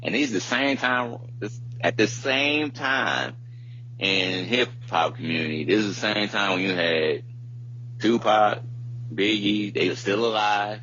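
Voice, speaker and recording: A man in his thirties with a deep, low voice talks casually and informally, rambling in slangy phrases like a podcast co-host. The recording is dull and lo-fi, with a faint hum behind him. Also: a little slow, pushed and bright. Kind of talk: casual chat. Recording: muffled lo-fi audio with background hum.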